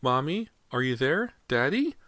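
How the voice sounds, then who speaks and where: A man voicing a frightened little boy who pleads and whimpers from somewhere dark. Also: medium pitch, very animated, unhurried, no room sound, voice pushed up. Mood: frightened pleading.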